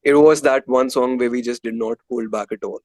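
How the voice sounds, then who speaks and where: A man's voice: mid-range voice, steady tone, a little fast, a little mellow, no echo. A man with an Indian accent answering candidly as an interview guest, conversational and emphatic, with frequent filler phrases. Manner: emphatic, leans on filler phrases like "you know".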